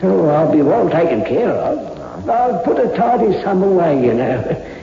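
Kindly morgue keeper, seventies, high creaky voice, echoey old radio recording. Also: male voice, huge pitch swings, a little slow, mellow, dull audio, slight background noise, heavily acted. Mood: contented, musing. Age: 70s. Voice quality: croaky old voice. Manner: chuckling, rambling, colloquial. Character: kindly, contented old male morgue attendant. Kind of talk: 1960s radio drama acting.

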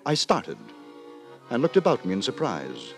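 An actor playing a prim, moralising gentleman who narrates in wordy, formal, mock-solemn 1950s radio drama style. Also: medium pitch, huge pitch swings, normal speed, gravelly. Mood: wry, measured. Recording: 1950s radio, music behind.

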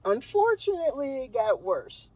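Young woman, Texan accent, laughs a lot, patient storyteller, slightly high voice. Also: very animated, moderate pace, dark tone. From Texas. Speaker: podcast co-host telling a true crime story. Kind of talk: casual chat.

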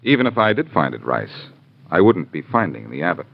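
An actor playing a cool, quick-witted adventurer hero with a wry, clipped manner in a 1940s radio crime drama. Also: male voice, sits low, sing-song, creaky, slightly rough, exaggerated voice. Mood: cool, needling. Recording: dull 1940s radio recording.

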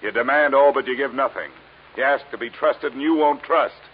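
An actor playing a tough, sardonic American insurance investigator, wry and blunt, in a vintage radio detective drama. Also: male voice, medium pitch, brisk, close to an everyday voice. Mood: bitter, blunt. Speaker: lead detective and narrator. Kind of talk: 1950s radio detective acting. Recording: muffled, hissy old radio recording.

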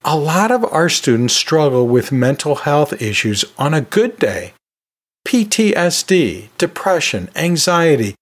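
A middle-aged or older man hosting an educational podcast, talking conversationally and emphatically, with pauses and rhetorical asides. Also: fairly high pitch. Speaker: podcast host and college instructor.

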